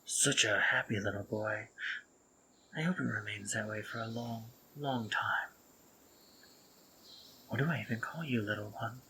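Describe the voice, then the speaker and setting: A man voicing a sardonic but tender spider-monster man, speaking in a soft, breathy half-whisper in an intimate audio roleplay. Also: fairly low pitch, measured pace, pauses often, a little hiss or hum, poor audio. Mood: flustered, tender. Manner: gentle, dry and teasing, intimate.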